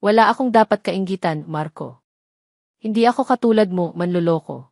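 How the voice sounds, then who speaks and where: A woman's voice: fairly low pitch, normal speed, gravelly, darkish tone, no echo, studio quality. A woman voicing a wronged wife in an audio drama, bitter and pained but controlled. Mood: bitter, accusing. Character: wronged young wife, bitter yet composed.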